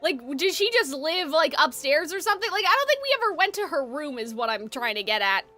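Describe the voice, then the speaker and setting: A woman streamer with a very high, bright voice. She comments casually on a game, animated and opinionated, often rambling. Faint game music sits behind her. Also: fairly quick. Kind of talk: casual live gaming commentary.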